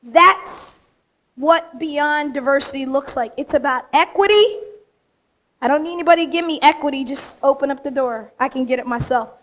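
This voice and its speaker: A woman from the United States with a slightly raspy voice, giving an emphatic, straight-talking acceptance speech in front of a room of people. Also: high-pitched, normal speed, fairly bright tone. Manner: straight-talking, emphatic, punchy short phrases. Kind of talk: acceptance speech to a live audience. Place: large event room with audience.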